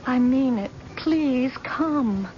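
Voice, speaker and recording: An actress playing an anxious, distraught wife in a radio drama, pleading and urgent in manner. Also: female voice, high voice, lively intonation, drawn-out words, exaggerated voice. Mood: upset, pleading. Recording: noisy, muffled 1970s radio broadcast.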